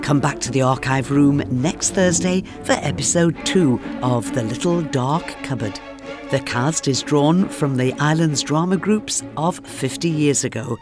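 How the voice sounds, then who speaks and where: A woman's voice: low voice, a little mellow, no room sound. Woman radio host over music. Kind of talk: scripted narration, programme sign-off.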